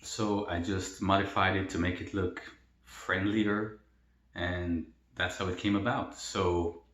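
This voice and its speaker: A young man from El Salvador talks through a casual question-and-answer vlog, relaxed and rambling with plenty of fillers. His voice sits fairly low. The room gives the recording a noticeable echo. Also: slow pace. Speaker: mukbang vlogger. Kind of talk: casual Q&A while eating. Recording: roomy echo.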